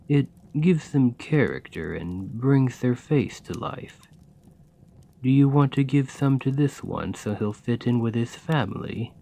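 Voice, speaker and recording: A man playing a kind, patient gravedigger in a soft, soothing, encouraging ASMR roleplay. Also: medium pitch, vocal fry. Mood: fond, playful. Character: kind, gentle gravedigger, patient and playfully fond. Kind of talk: ASMR roleplay, scripted comforting monologue.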